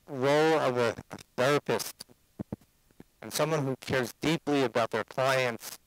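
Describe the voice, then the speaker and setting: A man's voice: mid-range voice, low-quality recording. A man, a rabbi, introducing a speaker with a personal story, using Yeshiva Hebrew terms. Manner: warm anecdotal, Yeshiva Hebrew phrases.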